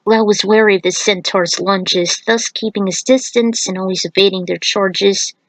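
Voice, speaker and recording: A woman's voice: medium pitch, steady tone, normal speed, fairly bright tone, no room sound, poor audio. A woman reading an action fantasy story aloud as a narrator, in a dramatic scripted style.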